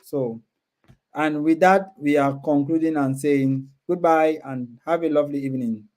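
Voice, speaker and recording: A man's voice: on the high side, normal speed, a little mellow. A man hosting a casual livestream, talking to viewers and often repeating his words and phrases. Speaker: live stream host. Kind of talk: casual livestream chat, reading and answering viewer comments.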